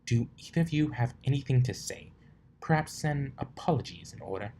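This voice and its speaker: Prim lady unicorn shopkeeper voiced by a man, bossy and scolding with some amusement, lifted pitch, noisy storybook recording. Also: animated, fairly quick, dark tone, natural voice. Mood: stern. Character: prim, bossy unicorn mare shop owner, stern but amused. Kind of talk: amateur storybook character reading.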